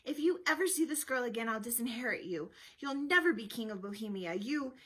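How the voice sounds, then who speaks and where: A menacing older king played by a woman storyteller roars and growls his threats. The pitch is pushed up, loud and forceful, in a dramatic storybook style. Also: expressive, a little fast, breathy edge. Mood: furious. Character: furious, menacing royal father, older man.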